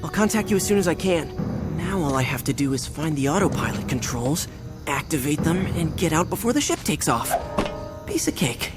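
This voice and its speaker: A man voicing a confident, wry teenage boy hero in an animated action game, with a casual delivery. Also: a little high, brisk. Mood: eager, confident. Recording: loud music behind the voice.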